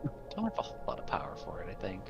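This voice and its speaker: A man's voice: low voice, huge pitch swings, rapid-fire, vocal fry, in a whisper. A man playing a tabletop roleplaying game, chatting casually and a little tentatively about his character. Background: background music.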